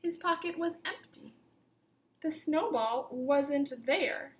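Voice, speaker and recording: A woman teacher reading a picture book aloud to young children, with expressive emphasis and simple questions put to the listeners. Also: sits high, slow pace, reverberant, slight background noise. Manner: simple instructive phrasing, questions addressed to children.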